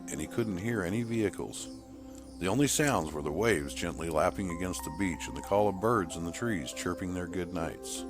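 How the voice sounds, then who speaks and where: Low-voiced man narrating a story over music. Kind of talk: scripted audiobook narration. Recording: music bed under voice. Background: background music.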